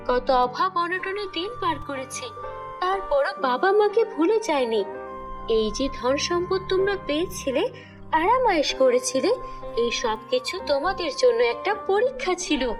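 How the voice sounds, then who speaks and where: A woman's voice: very high-pitched, animated, a little slow, music in the background. A woman voicing a stern, righteous fairy in a children's cartoon, speaking in a solemn, scolding, declamatory way. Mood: stern reproach.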